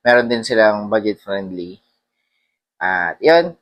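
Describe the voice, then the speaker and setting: A man with a fairly low voice talks in a casual vlog style. He reviews informally, rambling a little and pausing on hesitations as he mixes in English. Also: lively intonation. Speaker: motorcycle vlogger.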